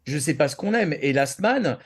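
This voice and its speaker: A man speaks as a panelist in a casual, opinionated discussion. His pitch is slightly high for a man. He is colloquial and insistent, repeating words and phrases to drive his point home. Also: lively intonation, normal speed. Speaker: show panelist.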